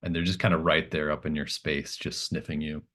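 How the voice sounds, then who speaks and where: A man's voice: extremely low pitch, little pitch movement, a little fast, a bit bright. A man narrating a tabletop roleplaying game as game master, improvising in a casual, conversational way.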